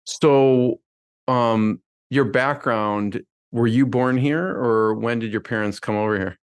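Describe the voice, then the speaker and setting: A man's voice: mid-range voice, slow, clean tone. A man hosting an interview, asking loose, rambling questions with frequent restarts. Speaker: podcast host.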